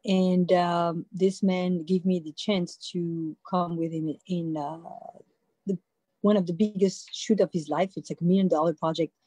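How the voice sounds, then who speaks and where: A woman's voice: fairly low pitch, fairly even intonation, measured pace, mellow, dry recording. A woman in her forties from France with a French accent, telling her own story as a panel guest on a video call, loose and conversational with many fillers and restarts. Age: 40s. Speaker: panel guest, visual artist. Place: indoor room with a warm-lit backdrop. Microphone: laptop or phone mic on a video call.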